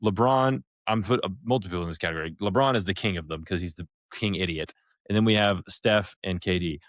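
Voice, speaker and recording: A man's voice: deep voice, a little fast, no room sound. An American man co-hosting a podcast in casual chat, opinionated and emphatic in the way he talks. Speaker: podcast co-host.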